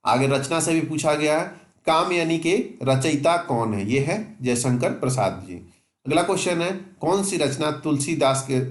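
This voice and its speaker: A man teaching exam preparation informally to students, repeating and stressing his points in a reassuring way. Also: medium pitch. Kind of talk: informal exam-prep lesson, explaining to students. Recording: echoey, reverberant room.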